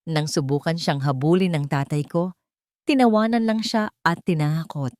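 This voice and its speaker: A woman's voice: deep voice, normal speed, darkish tone, no echo, clean studio sound, natural voice. A woman voicing a grieving, polite young woman who seeks justice for her father in an audiobook drama, solemn and holding back emotion. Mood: pained.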